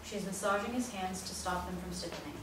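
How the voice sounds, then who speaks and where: A woman's voice: fairly low pitch, quick pace. A woman reading stage directions as scripted narration for a live play reading. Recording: very echoey room, distant mic, noisy.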